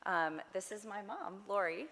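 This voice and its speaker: A young woman with a slightly hoarse voice, leading a worship service in a chatty, friendly way with many fillers. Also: mid-range voice, expressive, unhurried, pushed and bright. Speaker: service leader. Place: synagogue sanctuary. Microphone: body mic. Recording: long reverb of a sanctuary.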